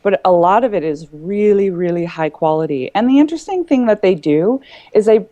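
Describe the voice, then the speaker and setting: A woman's voice: mid-range voice, animated, fairly quick, mellow, no echo, highs cut off. A woman in a casual group conversation, explaining things earnestly as a panelist.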